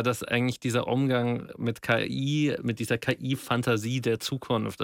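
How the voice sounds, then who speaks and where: Gravelly man in casual discussion, dry sound. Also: mid-range voice, no echo. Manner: thinking aloud, colloquial, hedged musing.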